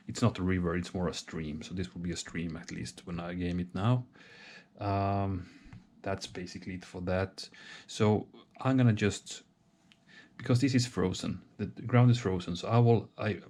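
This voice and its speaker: A deep-voiced man talks through a board game setup on his own, slowly and without hurry. He thinks aloud, hesitating, pausing and restarting his sentences as he works things out. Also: darkish tone, slight background noise. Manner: hesitant, many pauses and restarts. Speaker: solo board game player. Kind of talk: thinking aloud while setting up a wargame.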